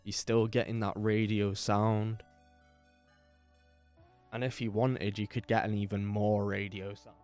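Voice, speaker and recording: A podcast host with a bassy, slightly gravelly voice, talking casually and rambling, repeating himself, on a dynamic microphone that he moves closer and farther. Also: level tone, unhurried, pauses often, darkish tone, soft background music. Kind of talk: casual talk about microphone settings. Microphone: dynamic microphone, distance varies.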